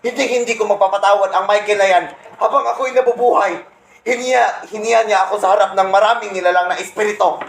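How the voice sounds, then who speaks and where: Satan for a comic radio play, acted by a young man: high voice, pompous, bitter, scornful, in an echoey room. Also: fairly even intonation, fast, gravelly. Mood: bitter, indignant. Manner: pompous, scornful, punctuated with cackling laughs. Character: boastful, resentful male Satan, fallen angel leader of demons. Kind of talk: comedic radio play acting. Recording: roomy echo, faint background noise.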